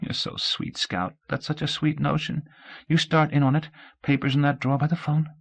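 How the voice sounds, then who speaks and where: A man's voice: little pitch movement, moderate pace, gravelly, a bit bright, breathy edge, full character voice. A man doing a weeping, desperate teenage girl. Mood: tender. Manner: pleading, high and strained. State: crying.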